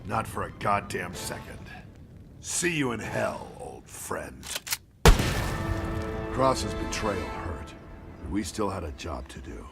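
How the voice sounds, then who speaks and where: A grizzled middle-aged American soldier tells his war story in a video game. The voice is very deep and full of gravel, with lively swings in pitch. He is blunt, profane and defiant, with music playing behind him. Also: slow, pauses often, exaggerated voice. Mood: hard defiance. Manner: terse, hard-boiled, profane. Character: grizzled, aging war veteran captain, blunt and defiant Nazi-killer. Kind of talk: dramatic video game narration and dialogue.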